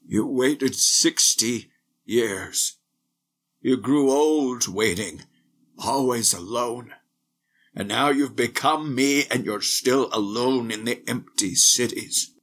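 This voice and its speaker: A man's voice: medium pitch, takes it slowly, fairly bright tone. A man voicing a lonely, weary old man of eighty who pleads in despair. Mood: weary bitter.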